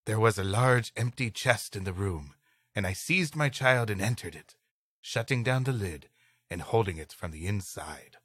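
A man with a bright, forceful voice and very animated intonation, reading like a theatrical storyteller and bantering as a podcast co-host. The sound is dry and close, with no room around it. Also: lowish voice, normal speed, breathy edge, no echo. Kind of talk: dramatic reading of an old story with comic banter.

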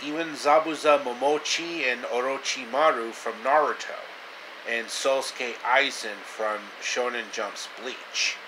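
A man in his thirties telling an improvised story casually to camera, halting and repeating phrases, in a noisy small room. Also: medium pitch, steady tone. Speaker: amateur storyteller. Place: small indoor room, reclining. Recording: clear air conditioner noise.

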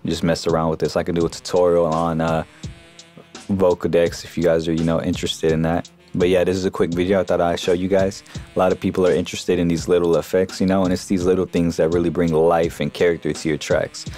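A man giving a casual, informal software tutorial as a voice-over on a close mic. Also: low voice, level tone, quick pace, faint music behind. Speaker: music production tutorial host.

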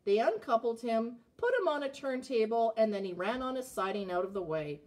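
A woman in her forties reading a children's picture book aloud, with expressive phrasing and a slightly husky voice. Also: on the high side, fairly quick. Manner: expressive, clearly phrased narration with asides about the pictures. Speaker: storybook reader. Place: indoors, child's playroom.

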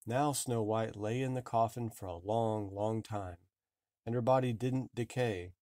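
A man reading a fairy tale aloud as an expressive storybook narrator. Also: fairly low pitch, unhurried, no room sound, studio quality. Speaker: storybook reader.

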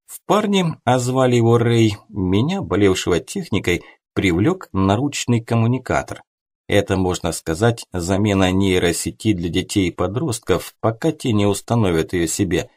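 A man's voice: lowish voice, lively intonation, moderate pace, a little mellow. A man reading a scripted first-person story as an audiobook narrator.

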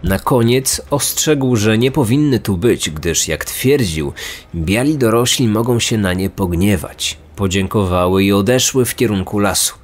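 A man's voice: fairly low pitch, expressive, measured pace. A man reading a scripted true-crime narration. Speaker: podcast narrator. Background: background music.

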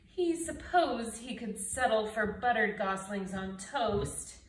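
A middle-aged woman gives an expressive children's read-aloud, unhurried and full of swoops in pitch. She is recorded in a roomy space with dramatic emphasis on her words. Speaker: storybook reader. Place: indoors, living room by brick fireplace and window. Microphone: camera a few feet away. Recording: roomy, distant mic, faint noise.

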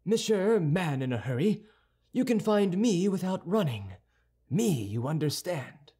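A man voicing a proud, cold, wounded male musketeer who speaks in a haughty, cutting and deliberate way. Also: high voice, very animated, warm tone, slightly rough, pitched above the performer's own voice, full character voice. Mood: cold threat.

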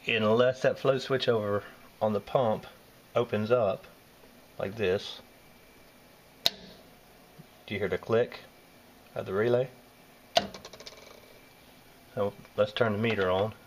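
Southern man, HVAC technician, low voice, plain step-by-step explaining, handheld camera with faint room noise. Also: fairly even intonation, takes it slowly, pauses often, highs cut off. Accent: Southern American. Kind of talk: hands-on repair walkthrough. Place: indoors at a furnace in a basement or utility space. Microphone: handheld camera filming close-up work.

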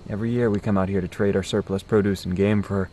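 A man's voice: lowish voice, steady tone, quick pace, warm tone, slight background noise, close to an everyday voice. A man playing an earnest, intense young man in an audio drama, solemn and persuasive as he rallies his companions. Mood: reflective.

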